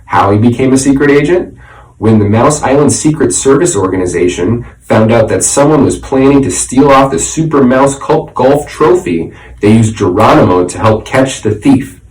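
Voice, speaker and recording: Guy doing a lively storybook read-aloud w/ little asides, echoey and kinda far from the mic. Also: medium pitch, normal speed. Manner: animated, dramatic storytelling. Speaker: teacher reading a children's book aloud. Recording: roomy echo, distant microphone.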